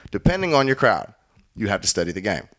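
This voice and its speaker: Laid-back young man with a lowish voice. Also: very animated, fast talker, fairly bright tone. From Caribbean. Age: 20s. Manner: storytelling, laughs often, acts out quoted speech. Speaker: guest music producer. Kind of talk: casual chat.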